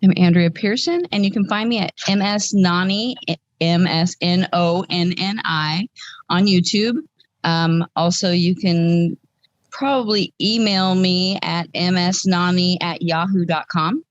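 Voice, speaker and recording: A woman's voice: on the low side, fairly even intonation, unhurried, dry recording, dull audio. A woman in her fifties telling a personal story conversationally as a podcast guest, stressing points by repeating phrases. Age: 50s.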